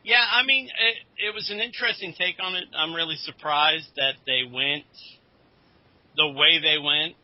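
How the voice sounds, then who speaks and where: A man co-hosting a podcast in casual chat, with a halting, hedging delivery. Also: a little high. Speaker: podcast co-host. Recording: dull lo-fi podcast audio.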